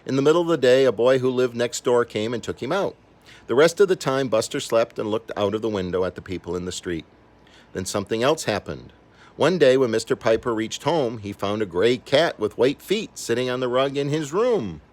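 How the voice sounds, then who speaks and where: An older man reading a children's story aloud in an expressive, animated way, acting out the characters. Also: mid-range voice, fairly quick. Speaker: storybook reader.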